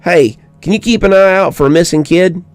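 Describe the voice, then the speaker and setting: A man's voice: sits high, a little fast, no echo, faint music behind, voice pushed up, lightly acted. A man voicing a friendly hospital worker, informal and matter-of-fact, in a story reading. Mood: casual concern. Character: male hospital worker on the phone, casual, friendly colleague.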